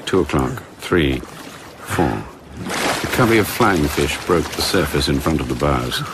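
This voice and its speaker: An actor as the measured narrator of a radio spy drama, reading scripted storytelling. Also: male voice, rumbling low, expressive, heavy background noise, exaggerated voice.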